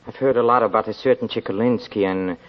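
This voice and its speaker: An actor playing an obsessed, nervous young army officer in a 1940s radio drama, with a fervent, halting delivery. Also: male voice, fairly low pitch, fairly even intonation, natural voice. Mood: nervous, evasive. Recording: dull, band-limited 1940s radio recording.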